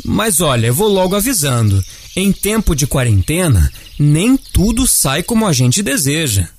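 An actor with a Brazilian accent playing a friendly, caring Easter bunny who explains things patiently in a children's audio drama. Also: male voice, fairly high pitch, very animated, normal speed. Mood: patient, explaining. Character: friendly, caring male Easter bunny, gentle and civic-minded.

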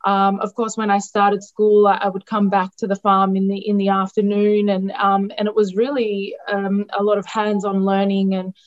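A woman's voice: medium pitch, completely flat delivery, moderate pace, hardly any pauses. A woman who is a dietitian, her Greek, answering interview questions with many ums, restarts and hesitations. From Australia. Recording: dull lo-fi sound.

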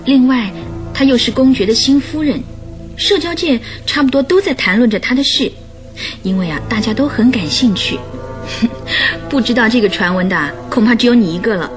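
A woman acts a playful young wife in a radio play, full of gossip. Her fairly high voice swings animatedly through chatty, exclamatory lines, with faint music behind. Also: lively intonation, moderate pace, natural voice. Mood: chatty, eager. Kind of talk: radio drama acting.

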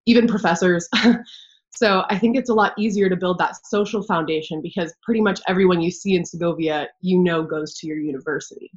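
A woman's voice: fairly low pitch, brisk. A young woman, a university student, talking reflectively in a casual chat with many fillers. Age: young adult. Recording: dull lo-fi audio.

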